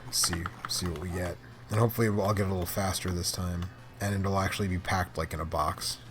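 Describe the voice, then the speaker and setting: A man chatting casually as he plays a game, commenting in rambling, halting asides. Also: low-pitched, steady tone, a bit bright. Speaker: host playing an arcade game. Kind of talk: casual gaming chat and commentary. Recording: clearly noisy room, clicking controls and keys.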